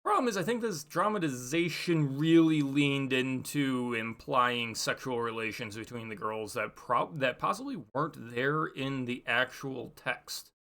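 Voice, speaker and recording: A man with a very bright, forceful voice at a middling pitch talks through a casual book review. He rambles and halts as he thinks aloud, stating opinions and then qualifying them. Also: measured pace, few pauses.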